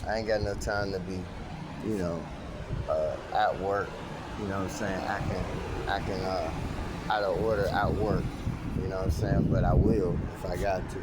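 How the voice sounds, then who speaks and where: A man's voice: sits low, moderate pace, very noisy. Slangy man, nearly fifty, venting. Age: 40s. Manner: animated, repetitive, streetwise slang. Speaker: airport wheelchair attendant. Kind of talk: casual storytelling vent.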